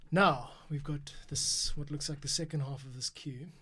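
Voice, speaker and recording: A man's voice: on the high side, steady tone, normal speed, sharp tone, husky. A man giving casual, thinking-aloud commentary as an audio editor at work. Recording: music behind. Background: orchestral music being edited.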